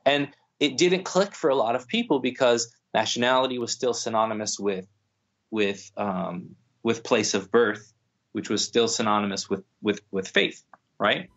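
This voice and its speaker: A man's voice: medium pitch, moderate pace, fairly bright tone. Thoughtful man with a dull lo-fi sound. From United States. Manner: thoughtful, many restarts and fillers. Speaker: guest scholar. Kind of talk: podcast conversation.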